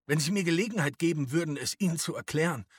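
Man voicing an anxious, urgent, high-voiced father. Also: expressive, fast, husky, no echo, clean studio sound. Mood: anxious, pleading. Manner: polite, urgent protest. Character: anxious, reasoning father, a lawyer under arrest. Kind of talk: audiobook character voice.

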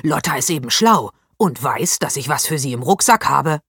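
A woman's voice: low-pitched, lively intonation, normal speed, fairly bright tone, breathy edge, no room sound, studio quality, full character voice. Figure: woman voicing a brash, cheeky schoolboy. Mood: casual.

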